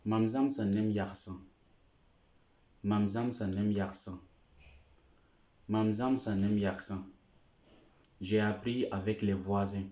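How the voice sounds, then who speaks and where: Male language tutor, low and mellow, patient phrase drills, dull lo-fi echoey recording. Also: steady tone. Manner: slow, deliberate, repeats each phrase three times. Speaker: language teacher. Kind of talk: slow repeated phrase drills.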